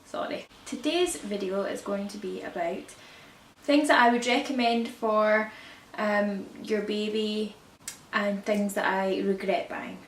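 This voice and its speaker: A woman with a croaky voice from a cold, chatting casually to camera about baby products and often correcting herself. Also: medium pitch, unhurried. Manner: rambling, self-correcting, chatty. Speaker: parenting vlogger. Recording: echoey room, distant mic, faint hiss.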